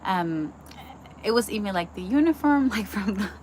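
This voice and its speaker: A young woman from the Dominican Republic talks through a devotional lesson like an informal chat. She is earnest and keeps circling back with likes and ums. Her voice sits at an ordinary middle pitch, with a faint hum of room ambience behind her. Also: expressive, normal speed, darkish tone. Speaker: Bible teacher. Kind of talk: casual devotional teaching.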